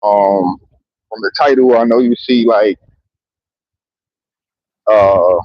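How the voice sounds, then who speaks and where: A man's voice: lowish voice, slow, dull audio, a little hiss or hum. A man talking into a handheld mic outdoors in public, in a loose, rambling and profane monologue that keeps circling back with "you know what I mean.". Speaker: vlogger. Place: outdoors in a public area near trains.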